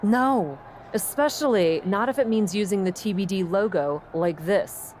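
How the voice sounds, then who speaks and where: A woman playing a blunt, proud young pro wrestler in a video game, dry and guarded, quick to bristle. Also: medium pitch, darkish tone, a little hiss or hum, natural voice. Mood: firm, annoyed. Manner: dry, guarded, indignant when pushed. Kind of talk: video game cutscene acting.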